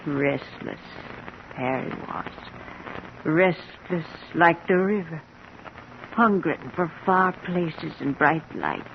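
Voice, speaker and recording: An actress playing a frail, wistful old American backwoods mother in a vintage radio drama, plaintive and hushed. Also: deep voice, lively intonation, takes it slowly, gravelly, heavily acted. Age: older. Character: frail elderly backwoods mother, wistful, stubbornly sure of premonitions. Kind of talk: 1950s radio drama acting. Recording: muffled, hissy vintage radio recording.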